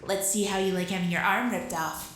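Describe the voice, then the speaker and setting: Bright, echoing woman as a taunting girl pilot. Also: medium pitch, fairly even intonation, a little fast, a little hiss or hum, natural voice. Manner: gleeful, taunting. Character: taunting, reckless young female mech pilot heroine. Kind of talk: cartoonish audio drama acting. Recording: very echoey, music behind.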